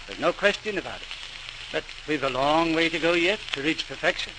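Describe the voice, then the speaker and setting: Man playing older male medical mentor, oratorical, encouraging, higher-pitched, vintage radio drama, noisy transcription. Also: expressive, brisk, natural voice. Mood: earnest, rousing. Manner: earnest, oratorical. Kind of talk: 1940s radio acting.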